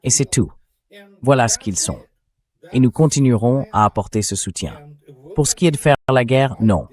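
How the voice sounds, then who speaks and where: A man's voice: mid-range voice, lively intonation, moderate pace, a little mellow. A man's synthetic voice dubbing a blunt, emphatic political commentator in an interview. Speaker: interview guest, translated voice-over.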